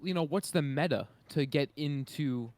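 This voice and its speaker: A man's voice: on the high side, moderate pace, a little mellow, dry recording. A man on a podcast panel, chatting casually in a loose, slangy, conversational way. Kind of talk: casual chat.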